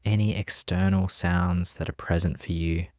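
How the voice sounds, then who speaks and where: A man leading a guided meditation as a teacher, speaking gently and leaving long pauses between phrases. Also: low-pitched, steady tone, no echo, clean studio sound.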